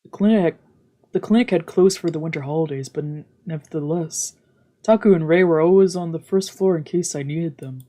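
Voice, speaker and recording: A woman streamer with a soft-spoken, subdued manner, narrating a visual novel aloud during a let's play. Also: low voice, fairly quick. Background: game music.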